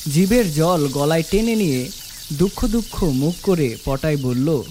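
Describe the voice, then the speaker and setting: A man's voice: fairly high pitch, animated, measured pace, mellow, slight background noise. A man reading a scripted story as its narrator. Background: music.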